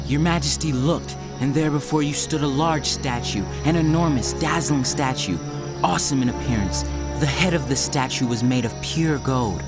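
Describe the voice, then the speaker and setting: An actor playing a devout, solemn seer in a dramatized audio Bible, speaking in reverent, measured, declamatory phrases. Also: male voice, medium pitch, lightly acted. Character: Daniel, devout, solemn young Hebrew seer.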